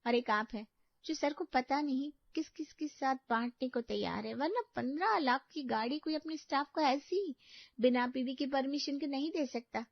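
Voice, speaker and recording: A woman's voice: high voice, normal speed, vocal fry, dry recording. A woman voicing a chatty young female shop employee who gossips about her boss. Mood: gossipy. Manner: chatty, insinuating. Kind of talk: cartoon story dubbing. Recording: dry dull lo-fi studio.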